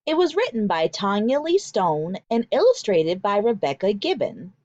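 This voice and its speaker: Lady teacher doing a kids read aloud, really animated and emphatic, kinda muffled lo-fi audio. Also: mid-range voice, moderate pace, dry recording. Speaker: teacher reading aloud to children. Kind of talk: expressive children's read-aloud with vocabulary explanations.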